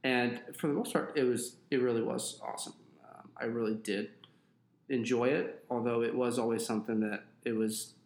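A young adult man with a gravelly voice chats loosely on a home podcast. He rambles with plenty of ums. The room is echoey. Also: mid-range voice, moderate pace. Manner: relaxed, rambling with fillers. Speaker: podcast co-host. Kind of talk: casual podcast chat.

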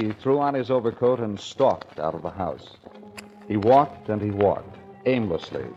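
A man's voice: fairly low pitch, a little mellow. A man narrating as a 1940s radio announcer, with a melodramatic, mock-solemn delivery. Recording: muffled 1940s radio recording. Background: music.

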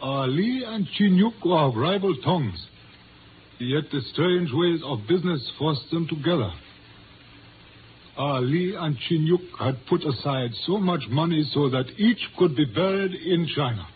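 A muffled, lightly noisy old radio recording carries a proud older Chinese patriarch. His voice sits fairly high for a man. He speaks with stately, formal gravity, every phrase measured. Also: expressive. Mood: grave, ceremonious. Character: proud, solemn older Chinese father and community leader. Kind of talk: 1950s radio drama acting.

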